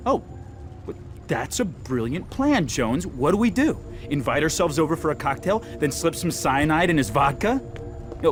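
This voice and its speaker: An actor playing a brash, loyal, hot-headed resistance fighter in a video game, swaggering and sarcastic. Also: male voice, a little high, lightly acted. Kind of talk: video game cutscene acting.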